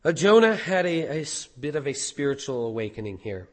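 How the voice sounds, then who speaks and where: A hoarse man preaching a sermon as pastor, animated, with rhetorical questions. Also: a little high, fairly quick, big-room sound.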